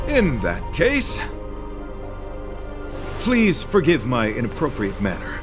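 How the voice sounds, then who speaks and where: An actor voicing a noble, courtly knight devoted to beauty, speaking formal, florid lines earnestly in a theatrical video game style. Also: male voice, medium pitch, wildly swooping pitch, slow, over music. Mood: resolute, chivalrous.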